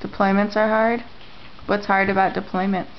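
A woman interviewing family members at home, repeating their answers back and asking gentle questions. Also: medium pitch, flat delivery, normal speed, slight background noise. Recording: lo-fi home camera audio.

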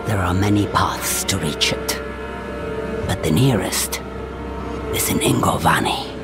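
A bitter, wry old swamp hermit in a fantasy game. The low voice drawls ominously, rising and sinking toward whispers, under loud music. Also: male voice, expressive. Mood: grim, resigned. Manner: slow, ominous, dropping into whispers. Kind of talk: dramatic fantasy game acting.

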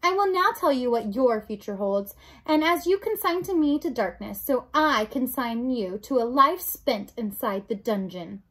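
A woman's voice: on the high side, lively intonation, moderate pace, a bit bright, breathy edge. A woman voicing a cruel, scornful male rat villain in a storybook, with formal, mocking, haughty speech. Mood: cold, gloating.

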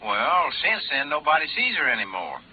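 An actor playing a folksy, chatty Southern local informant in a 1950s radio drama, drawling and unhurried. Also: male voice, on the high side, very animated, crowd noise. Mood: gossipy, helpful. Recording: muffled, faintly noisy vintage radio recording.

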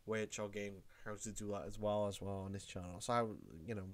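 A man's voice: on the low side, little pitch movement, brisk. A young man with a cold, rambling through a casual tutorial vlog from his room at home. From Wales. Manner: rambling, repeats himself. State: has a cold. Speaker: YouTuber.

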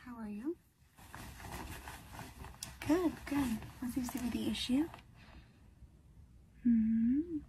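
A woman's voice: on the high side, takes it slowly, pauses often, background noise. A woman in her twenties doing a soothing, reassuring ASMR role-play as a repair technician, murmuring in short fragments, close up in a bedroom. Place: bedroom, indoors. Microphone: close to the camera, leaning in.

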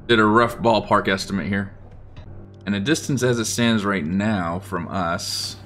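A man giving casual, unhurried gameplay commentary, thinking aloud as he plans. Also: on the low side, fairly bright tone. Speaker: gaming video host.

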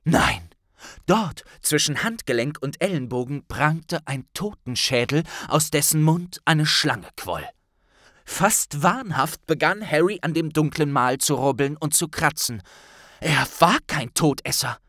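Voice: mid-range voice, animated, a little slow, fairly bright tone, dry recording, studio quality. An audiobook narrator reading a dramatic scripted story.

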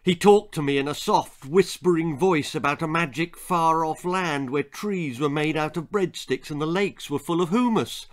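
A man's voice: fairly high pitch, normal speed, few pauses. A man in his sixties reading a children's ghost story aloud with theatrical suspense. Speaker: author reading own stories. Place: small book-lined room indoors.

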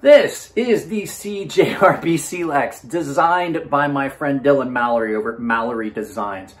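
A man's voice: mid-range voice, normal speed, slightly rough. A man in his forties reviewing gear in a casual, unscripted way, chatting informally to his viewers as host. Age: 40s. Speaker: knife reviewer and channel host.

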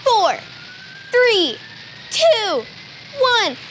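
Someone playing an eager little kid girl in a cartoon, super high voice, slow, faint music behind. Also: sing-song, fairly bright tone, slight background noise, full character voice, low-quality recording. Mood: excited. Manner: wide-eyed, earnest enthusiasm. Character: excited little girl playing rocket pilot. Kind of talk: children's cartoon dialogue.

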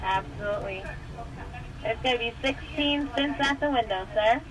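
A woman's voice: fairly high pitch, normal speed, low-quality recording. An American woman taking an order over a drive-thru intercom in a customer service style. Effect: intercom speaker. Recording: drive-thru speaker heard from a car, music.

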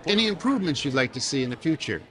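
A man's voice: on the high side, big pitch swings, very fast, a bit bright, poor audio. An older man hosting a casual interview on a restaurant patio, asking easygoing questions. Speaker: host.

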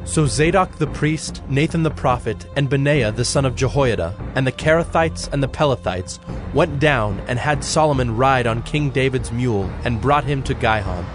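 A man reading scripted narration for a dramatized Bible in a measured storytelling manner. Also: mid-range voice. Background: prominent music.

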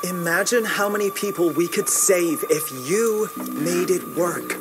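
High-voiced, earnest young inventor. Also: male voice, measured pace, music in the background. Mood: hopeful. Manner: earnest, deferential, persuasive. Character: earnest, hopeful young male inventor, loyal and polite. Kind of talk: video game cutscene acting.

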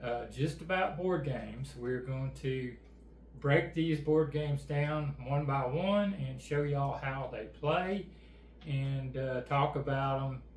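A man's voice: medium pitch, slow. A middle-aged man, co-hosting a casual channel chat with an easygoing, plainspoken manner. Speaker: co-host. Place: indoor room with game table and backdrop. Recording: echoey room, faint background noise.

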